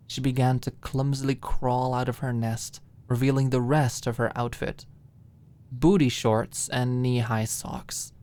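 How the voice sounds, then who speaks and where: Very low-pitched, slow woman audiobook narrator. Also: a little mellow. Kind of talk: scripted fiction narration, ASMR audiobook.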